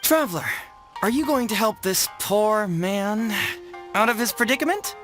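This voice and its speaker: A man playing a witty, smooth-talking young detective in a video game, narrating theatrically with a knowing, gently teasing manner. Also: sits high, animated, moderate pace, music in the background. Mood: dramatic narration. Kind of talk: scripted video game presenter and dialogue.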